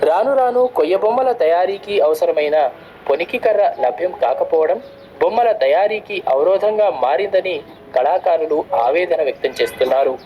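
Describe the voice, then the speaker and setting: A man's voice: sits high, expressive, normal speed. A man with a Telugu accent reading a formal scripted report as a radio presenter. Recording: dull FM radio playback, faint noise.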